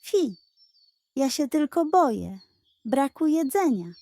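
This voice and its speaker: A grumpy little pug who boasts and scoffs, always thinking of food, as a woman puts him on. The voice sits higher than a plain speaking voice and moves slowly. Recorded dry and close for a children's audio story. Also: very animated, warm tone, dry recording, exaggerated voice. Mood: boastful. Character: grumpy greedy little male pug dog.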